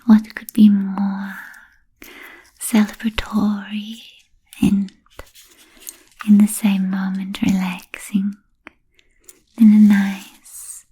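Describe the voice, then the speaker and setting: Woman playing an ancient languid vampire, ASMR roleplay, breathy whisper, mellow tone, very slow halting pace, formal, soothing. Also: medium pitch, completely flat delivery, exaggerated voice, rough recording. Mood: gentle, musing. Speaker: ASMR performer.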